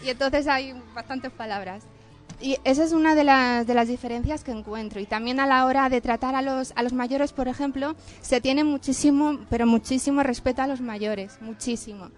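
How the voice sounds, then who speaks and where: Woman, Philippine language, high-pitched, careful, explanatory. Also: normal speed, soft background music. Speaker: guest. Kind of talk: conversational interview answers.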